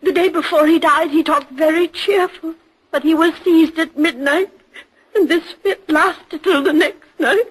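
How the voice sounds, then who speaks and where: An actress playing a grieving, tearful widow giving mournful, plaintive testimony in an old radio drama. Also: female voice, very high-pitched, a little slow, rough recording.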